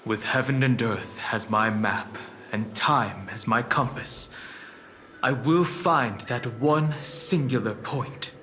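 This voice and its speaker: An actor playing a steadfast young male hero in a fantasy game, speaking in a measured, earnest, declamatory way. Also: medium pitch, a little hiss or hum. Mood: solemn vow. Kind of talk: dramatic scripted game voice acting. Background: loud music.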